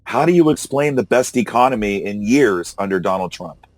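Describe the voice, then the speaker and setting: A hoarse man hosting a casual political discussion, arguing and incredulous. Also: on the low side, animated, moderate pace, darkish tone, no echo. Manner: argumentative, incredulous, laughs often. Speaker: podcast host. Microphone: call recorder.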